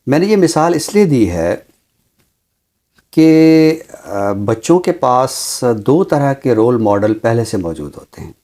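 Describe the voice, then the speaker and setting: A man speaking as a teacher, explaining his views deliberately with emphatic repetition. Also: mid-range voice, very animated, a little mellow. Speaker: Urdu teacher, programme guest. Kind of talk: considered discussion, explanatory.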